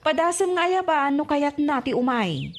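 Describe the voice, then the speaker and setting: A woman's voice: sits high, big pitch swings, normal speed. A woman playing a long-suffering, nagging village wife and mother in an Ilocano radio comedy drama. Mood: weary, chiding.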